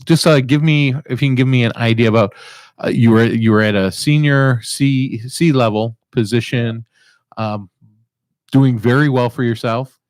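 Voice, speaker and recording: A man's voice: mid-range voice, normal speed, a little mellow, no room sound. A man hosting an interview show, rambling a little with fillers as he introduces his guest. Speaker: host.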